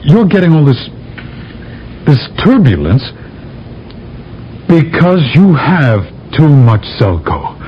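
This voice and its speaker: A man's voice: on the high side, sing-song, slow pace, long pauses. An actor playing a persuasive astronaut from Earth in a 1970s radio drama, insistent and theatrical in his delivery. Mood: urgent, explaining. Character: bold, persuasive male astronaut envoy from Earth. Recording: dull, noisy old radio broadcast recording.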